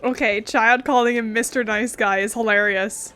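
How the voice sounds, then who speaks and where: A woman's voice: on the high side, fairly even intonation, normal speed, fairly bright tone. A woman giving casual, chatty let's play commentary as host of a gaming video. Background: faint game music.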